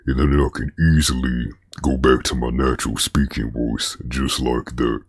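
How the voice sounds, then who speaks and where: Very deep, husky-voiced man. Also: flat delivery, moderate pace, no room sound, studio quality. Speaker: commentary channel host. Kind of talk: commentary vlog.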